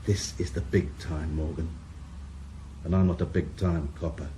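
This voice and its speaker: An actor playing a blunt, cynical middle-aged police inspector in a radio drama. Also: male voice, rumbling low, fairly even intonation, normal speed, mellow, a little hiss or hum. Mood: hard. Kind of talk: 1980s radio drama acting.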